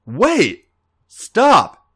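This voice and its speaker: A man's voice: high-pitched, huge pitch swings, very noisy, pitched above the performer's own voice, exaggerated voice. A nervous male champion, acted out by a man reading a story speaks in a raised, swooping voice. He is hesitant and flustered, stumbling over his words. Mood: pleading. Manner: halting, stammering.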